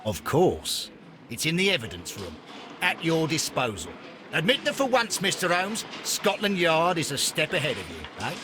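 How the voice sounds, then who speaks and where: A self-satisfied police inspector in a video game. His voice sits fairly high and swings with lively emphasis, brisk and official, with clear background noise around it. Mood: smug, eager. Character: smug, eager male Scotland Yard police inspector. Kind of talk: scripted video game dialogue. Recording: clearly audible background ambience.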